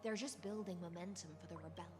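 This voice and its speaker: A cold, serene woman of the sea from a video game, played by an actress. She sounds poised and languid, with a soft menace and a teasing edge. Music plays clearly behind her. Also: on the low side, quick pace, lightly acted. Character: serene, cold female sea-born assassin, teasing and aloof. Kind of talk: video game character acting.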